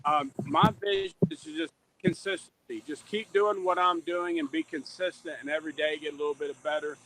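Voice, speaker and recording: Higher-voiced middle-aged man, candid guest. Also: animated, a little fast, vocal fry, pushed and bright, a little hiss or hum, rough recording. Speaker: podcast guest, reentry advocate. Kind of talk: candid conversational interview answers.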